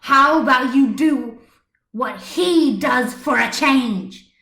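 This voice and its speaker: A woman acts out a furious young superheroine from a cartoon fan story, loud and high. She rants with fierce, protective indignation. The sound is roomy, picked up by a computer microphone. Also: takes it slowly, full character voice. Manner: loud, indignant tirade delivery.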